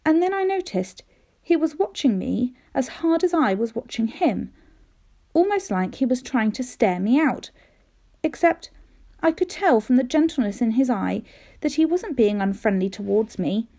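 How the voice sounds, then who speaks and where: Female, storybook reader for children. High, lively pitch, soft-edged mellow tone, expressive delivery. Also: moderate pace, creaky. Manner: animated, careful storytelling with dramatic emphasis. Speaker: teacher reading aloud to pupils.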